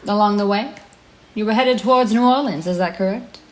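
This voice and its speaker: An actress playing a composed radio host in an audio drama, announcing in a polished, dramatic broadcast style. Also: female voice, mid-range voice, a little fast, lightly acted, low-quality recording. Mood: composed, intrigued. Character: composed female radio host presenting a crime exclusive. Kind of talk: scripted radio broadcast presenting. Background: music.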